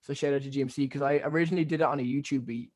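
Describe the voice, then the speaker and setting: A young man from Ireland with a softened Cork accent leaning American, rambling casually through an interview with lots of fillers and restarts. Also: mid-range voice, fairly even intonation, very fast. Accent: neutral Cork Irish with American influence. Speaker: musician guest.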